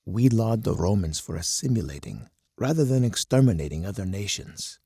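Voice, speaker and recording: A man playing a stern, uncompromising army colonel in a strategy game, speaking in measured declarations with a gravelly, husky voice. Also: on the low side, expressive, mellow, no room sound, studio quality. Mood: resolute. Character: uncompromising hawkish male army colonel, grim military strategist. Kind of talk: scripted game voice lines.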